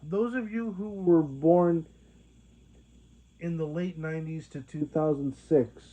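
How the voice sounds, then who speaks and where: A young man in his late twenties talks straight to his viewers, his voice sitting a little high for a man. He stops and restarts his sentences again and again, filling the gaps with fillers. The sound is thin and tinny, like a voice over a phone line. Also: dark tone. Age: 20s. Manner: halting, many restarts and fillers. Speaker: vlogger. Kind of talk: rambling monologue to viewers.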